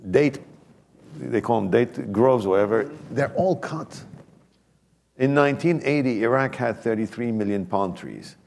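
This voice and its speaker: A man's voice: medium pitch, vocal fry, darkish tone, a little hiss or hum. A man speaking as a panelist in a conference discussion, emphatic and talking in bursts with many restarts.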